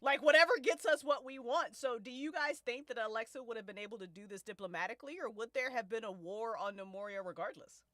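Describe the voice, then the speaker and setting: A woman's voice: a little high, fast talker, hardly any pauses, fairly bright tone, dry recording. A woman hosting a casual discussion of a fiction story, speaking expressively in slangy, profane language. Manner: prone to laughing as they talk. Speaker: host and writer of a fiction podcast. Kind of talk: casual discussion of an audio drama.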